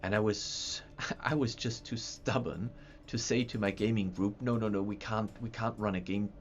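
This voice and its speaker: A man's voice: lowish voice, fairly even intonation, normal speed. A man hosting a livestream, chatting casually in a rambling way with stumbling restarts and repeated words. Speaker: livestream host showing map software. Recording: dull lo-fi sound with faint music.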